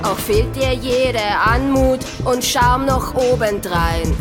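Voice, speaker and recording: An actress performing as a mournful, scornful reciter of verse in a radio drama, partly singing in a plaintive way. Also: female voice, a little high. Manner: slow, plaintive, partly sung delivery. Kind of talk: poem set to music in radio drama. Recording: loud music behind the voice.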